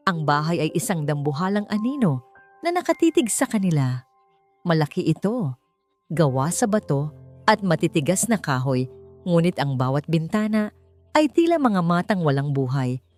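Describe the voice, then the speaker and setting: Low-voiced woman narrating with lively pitch. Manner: expressive, suspenseful storytelling. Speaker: story narrator. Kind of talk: dramatic scripted narration of a romance suspense story.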